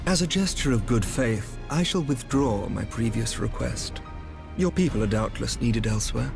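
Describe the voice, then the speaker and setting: Gravelly, courtly knight commander. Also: male voice, medium pitch, animated, over music. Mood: measured, diplomatic. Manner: formal, measured, archaic diction. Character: composed, courtly male knight commander, diplomatic and shrewd. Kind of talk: scripted fantasy game dialogue.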